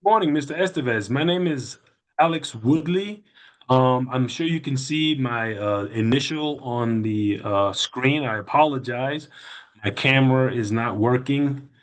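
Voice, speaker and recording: A man of middling pitch chairs a public board meeting. He speaks politely and deliberately, explaining the procedure with frequent hesitations. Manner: careful, filler-heavy, polite.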